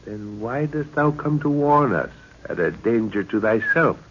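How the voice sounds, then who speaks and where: A man acting a commanding, middle-aged expedition leader in an old-time radio serial, measured and authoritative. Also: mid-range voice, lively intonation, lightly acted. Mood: stately, probing. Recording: muffled, noisy old radio recording.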